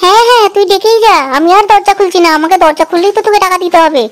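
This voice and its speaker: A woman's voice: very high voice, very fast. A woman voicing a flustered, grumbling, melodramatic village mother in a comedy cartoon, with a whiny delivery. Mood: flustered, defiant.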